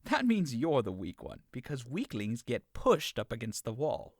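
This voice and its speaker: An actor playing a teasing, sharp-tongued young male servant in an audio drama. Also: mid-range voice, huge pitch swings, normal speed, no room sound, studio quality. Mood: mocking. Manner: teasing, mocking.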